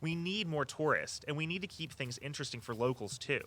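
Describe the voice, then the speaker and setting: An actor playing an eager, earnest walking-tour guide who tells local history with a storyteller's flair. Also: male voice, fairly high pitch, expressive, a little fast, a bit bright. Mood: casual, earnest. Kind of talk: audio drama dialogue, storytelling tour patter.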